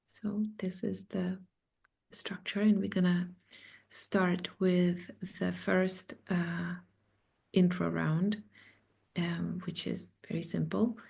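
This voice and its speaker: Woman host, Russian-born, soft and unhurried, lots of ums, somewhat low voice. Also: flat delivery, vocal fry, breathy edge. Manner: slow and soft, many pauses and ums. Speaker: circle facilitator. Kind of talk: guiding an online sharing circle. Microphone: video call.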